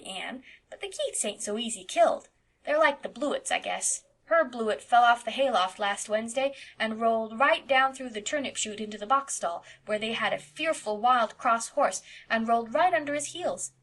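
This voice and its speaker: A woman's voice: animated, normal speed. A woman doing a blunt, mischievous little farm boy. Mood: defiant, chattering. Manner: high, loud and insistent childlike delivery. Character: mischievous eight-year-old farm boy, blunt and eager.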